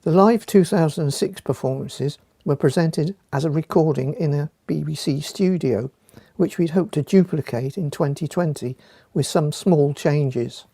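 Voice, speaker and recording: A man presents a scripted introduction. His voice is mellow and a little high for a man, recorded dry without room sound. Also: moderate pace, vocal fry, no room sound. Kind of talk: scripted narration.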